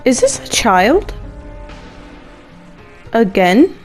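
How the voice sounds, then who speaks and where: A woman's voice: on the high side, sing-song, drawn-out words. A woman doing casual, joking gameplay commentary in a wry, playfully dramatic way. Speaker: game streamer. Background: soft game music.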